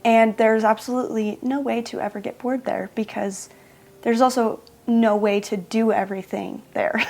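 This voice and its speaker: A woman's voice: fairly high pitch, a little mellow. A woman vlogger talking casually to camera, chatty and self-mocking, often laughing in the middle of a sentence.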